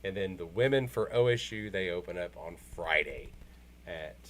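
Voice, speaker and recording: Male sports co-host, low-pitched voice, casual conversational delivery. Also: animated, normal speed.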